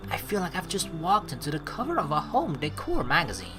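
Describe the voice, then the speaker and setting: A young woman hosts a game playthrough in a low but very animated voice. She switches between reading narration and expressive, sweary commentary. Faint music runs underneath. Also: fairly quick. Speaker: let's play host.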